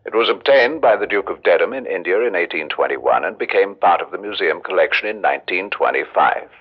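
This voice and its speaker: Deep, solemn recorded tour guide. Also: male voice, expressive, brisk, slightly rough. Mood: solemn, grandiose. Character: formal recorded museum audio guide, solemn and grandiose. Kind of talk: scripted guided-tour narration in radio drama. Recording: dull old radio recording.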